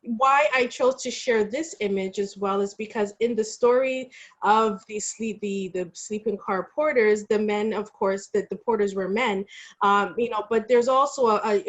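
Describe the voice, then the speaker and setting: Female panel presenter, medium pitch, explaining archival material informally with a halting delivery. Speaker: panelist presenting historical artifacts.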